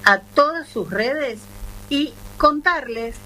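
A woman's voice: high-pitched, expressive, slow pace, bright, forceful tone, a little hiss or hum, poor audio. A woman with an Argentine accent giving a travel column on a radio show, talking in an upbeat, promotional way. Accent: Argentine Spanish. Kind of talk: casual radio chat.

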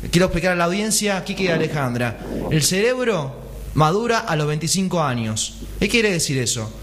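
A man's voice: on the high side, very animated, normal speed, a bit bright, a little hiss or hum. Didactic man explaining on radio. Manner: didactic, explaining step by step with rhetorical questions. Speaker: pharmacist guest columnist. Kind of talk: radio health column.

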